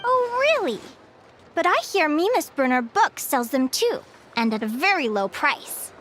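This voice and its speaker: Casting: a playful young advisor quizzing a crowd, very high and sing-song, soft music behind. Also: female voice, a little slow, slight background noise, heavily acted. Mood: upbeat. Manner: lively, teasing, upbeat teacher. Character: young female adventure advisor, bright and playful quizmaster. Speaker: adventuring advisor giving a quiz. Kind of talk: video game cutscene dialogue. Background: game music.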